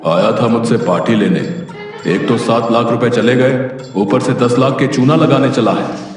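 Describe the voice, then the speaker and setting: A man's voice: mid-range voice, moderate pace, lightly acted. A man acting as a shrewd, grumbling, middle-aged miserly merchant in a cartoon story, blunt and dismissive as he haggles. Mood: indignant. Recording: echoey, distant, faint music behind.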